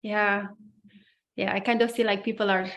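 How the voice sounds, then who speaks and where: A woman from Romania in her forties, a coach who is a guest on a video call. She speaks at a middling pitch in a friendly, chatty manner. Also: little pitch movement, moderate pace, creaky, fairly bright tone. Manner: friendly, chatty, laughs easily. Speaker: guest, personal development coach. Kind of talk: interview answers.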